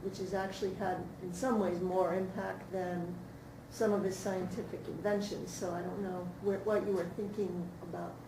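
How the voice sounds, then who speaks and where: A woman asking a question informally from the audience, in halting, thoughtful phrases. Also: lowish voice, flat delivery. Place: indoor room at a post-reading talkback. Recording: noisy, echoey, distant room recording.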